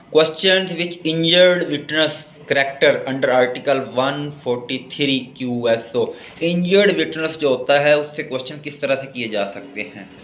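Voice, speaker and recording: A man's voice: medium pitch, a bit bright. A man with a Pakistani accent giving a law lecture, didactic, moving between word-by-word dictation and explanation. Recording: echoey room.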